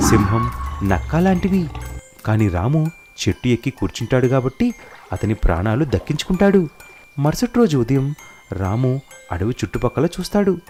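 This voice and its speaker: A man narrates a scripted moral tale. His mid-pitched voice moves up and down in a very animated way. Soft music runs behind the narration.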